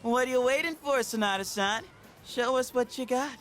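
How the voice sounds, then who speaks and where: An actor voicing an eager, cheeky teenage schoolboy in a video game, excitable and glib. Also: male voice, extremely high pitch, normal speed, faint music behind. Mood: goading, excitable. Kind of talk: English video game voice acting.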